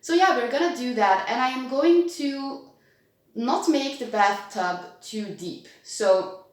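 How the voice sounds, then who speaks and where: A woman's voice: high voice, animated, slow pace. Bubbly woman vlogging in an echoey kitchen. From Belgium. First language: Dutch. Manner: chatty, bubbly, talks to her owl and viewers. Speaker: owl-keeping vlogger. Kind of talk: casual tutorial vlog. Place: home kitchen, indoors. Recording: very echoey room.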